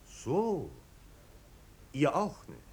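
Theatrical, swooping snobbish marquis. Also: male voice, wildly swooping pitch, extremely slow, vocal fry, a little mellow, breathy edge, heavily acted. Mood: amused, impatient. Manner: languid, theatrical, ironic. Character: snobbish, amused aristocratic marquis, blasé and mocking. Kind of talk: 1950s German radio drama acting. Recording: old radio recording, rumbling background noise.

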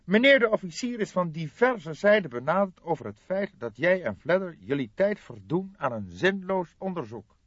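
A man voicing an affected, self-important police commissioner in an audiobook, solemn and formal, pausing for effect. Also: high-pitched, very animated, husky, dry recording, lo-fi sound, pitched above the performer's own voice, exaggerated voice. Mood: solemn, disapproving. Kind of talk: audiobook character dialogue.

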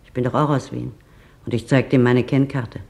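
A man playing a quiet, shaken witness who recounts a wartime arrest in a measured, detailed way, as in a radio documentary. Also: medium pitch, level tone, vocal fry, close to an everyday voice. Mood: agitated recounting. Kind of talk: dramatized documentary monologue in German.

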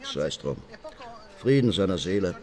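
An actor playing a cynical, insinuating Sicilian mafioso who confides and threatens in a German radio crime drama. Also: male voice, low-pitched, big pitch swings, unhurried, darkish tone. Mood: cynical musing.

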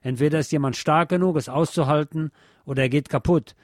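A man's voice: a little high, a little fast, no room sound, pitched above the performer's own voice, close to an everyday voice. Casting: man playing a scornful, hot-tempered soldier in a war story, hectoring and sneering, dry recording. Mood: vehement. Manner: hissing, mocking, hectoring. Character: furious, sneering male soldier, scornful and bullying.

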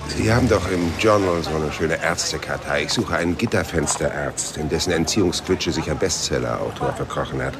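An actor playing a cynical, world-weary male private detective in a radio crime drama, rough-voiced, dry and laconic. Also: a bass voice, fairly quick, few pauses, background noise. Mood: dry, wary. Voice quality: husky, rough. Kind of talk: radio crime drama with first-person narration.

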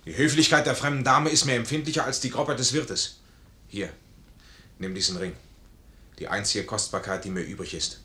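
An actor playing a proud, impoverished army major in a 1950s German radio play, formal, courteous and commanding. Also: male voice, mid-range voice, fairly even intonation, normal speed, pauses often, bright, forceful tone, close to an everyday voice. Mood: resolute. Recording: roomy 1950s radio studio recording.

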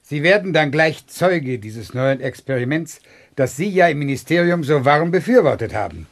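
Higher-voiced, deferential headmaster. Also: male voice, lively intonation, moderate pace. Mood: formal, deferential. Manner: formal, obsequious. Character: formal, anxious, deferential school headmaster. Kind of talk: comic radio play acting.

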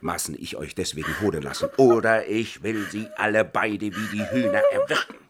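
A man's voice: on the low side, huge pitch swings, normal speed, slight background noise. An actor in a German radio play voicing an outraged, threatening colonel and father in archaic speech. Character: enraged old-fashioned colonel, outraged honour-bound father.

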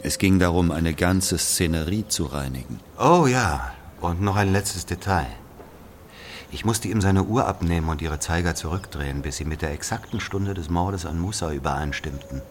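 A man playing an aging Algerian who confesses an old killing in a brooding, deliberate literary monologue. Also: low-pitched, slight background noise, lightly acted. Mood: insistent, defiant. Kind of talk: literary monologue, dramatic audio narration.

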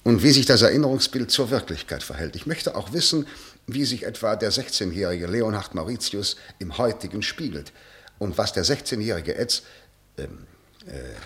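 An actor in a German radio play playing a severe, probing prosecutor who speaks in measured, declamatory, rhetorical phrases. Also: male voice, lowish voice, a bit bright, roomy sound. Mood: probing, hesitant. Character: formal, probing, severe male prosecutor, eloquently moralizing.

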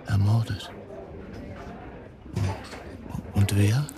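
An actor playing a distraught, defensive husband accused of murder in a German radio drama, halting and incredulous. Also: male voice, lowish voice, fairly even intonation, gravelly, a loud crowd. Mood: disbelieving, upset.

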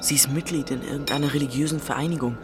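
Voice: mid-range voice, racing, music in the background, lightly acted. A young performer playing a troubled, questioning thirteen-year-old boy in a radio play, earnest and subdued. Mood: matter-of-fact. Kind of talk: German radio play acting.

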